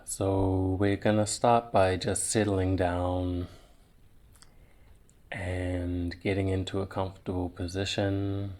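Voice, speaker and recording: A man leading a guided visualization in a soothing, meditative way, leaving long pauses between short phrases. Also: sits low, level tone, darkish tone.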